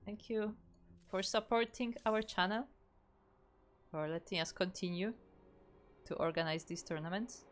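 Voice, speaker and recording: A woman's voice: mid-range voice, steady tone, unhurried, long pauses, faint music behind. A young woman from Poland with a Polish accent, casting a strategy game solo and chatting with viewers in a loose, rambling way. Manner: chatty, thinks aloud with restarts, reads out chat. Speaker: esports caster. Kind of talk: solo game commentary on a stream.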